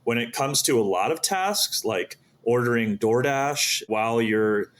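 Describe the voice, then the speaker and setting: A man's voice: mid-range voice, expressive, a little slow, a bit bright. A man speaking as an interview guest, explaining his ideas earnestly and often posing questions that he answers himself. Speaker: podcast guest, startup founder.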